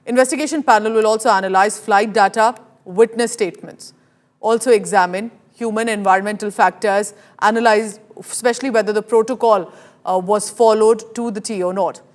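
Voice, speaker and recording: A woman news anchor with an Indian accent and a mid-pitched voice. She presents live news emphatically, setting out each point in an explanatory way. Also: steady tone, a little fast.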